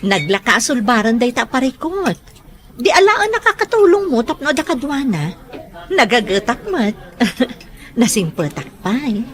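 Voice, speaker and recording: Casting: coquettish, scheming mom, highish voice with big swoops, Ilocano accent, radio drama. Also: female voice, very animated, measured pace, fairly bright tone. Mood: playful. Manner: exclamatory, coquettish. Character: flirtatious, scheming, money-minded single mother.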